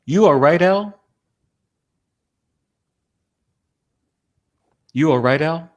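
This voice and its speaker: A man's voice: on the high side, lively intonation, fairly quick, dull audio, lightly acted. A man playing a folksy, tender, caring cowboy-like companion in a dramatic play reading. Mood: concerned.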